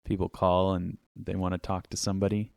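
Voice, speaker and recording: A man's voice: sits low, level tone, creaky, a little mellow, clear, resonant tone, dry recording. A man hosting a music podcast from a home studio, reading a sponsor spot and then chatting playfully with a co-host, joking and stretching words for emphasis. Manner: playful, jokey, drawn-out emphasis. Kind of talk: casual chat with an ad read. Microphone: studio microphone. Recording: dry close studio mic.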